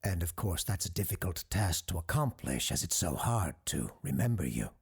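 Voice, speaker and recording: A male actor plays a learned doctor hosting a radio show in an audio drama. His voice is low and very husky, rising and falling expressively. He is careful and earnest, often hesitating as he thinks. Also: sing-song, normal speed, full character voice. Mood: thoughtful, wary.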